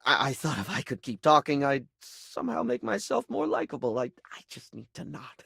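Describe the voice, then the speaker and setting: An actor playing a flamboyant, scatterbrained, beauty-obsessed dandy in a video game, with a camp, melodramatic and affected way of talking. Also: male voice, medium pitch, sing-song, moderate pace, vocal fry, sharp tone, breathy edge, dry recording, clean studio sound, full character voice. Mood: dejected, self-critical. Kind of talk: expressive video game character acting.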